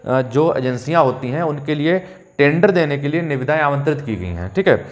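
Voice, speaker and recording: A man speaks as a coaching teacher, informally explaining an exam update and addressing students directly with frequent check-ins. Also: medium pitch. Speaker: coaching teacher and channel host.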